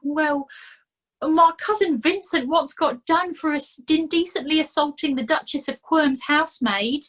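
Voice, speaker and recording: A woman's voice: high-pitched, measured pace, fairly bright tone, pitched above the performer's own voice. A woman voicing a scrawny, put-upon male corporal who whines and pities himself. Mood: woeful. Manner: whiny and self-pitying.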